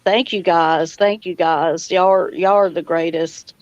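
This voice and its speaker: A woman's voice: on the low side, steady tone, unhurried, a little mellow. A woman hosting a casual live chat, speaking in a folksy way and greeting guests and viewers with y'all. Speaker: live chat stream host. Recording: dull lo-fi audio.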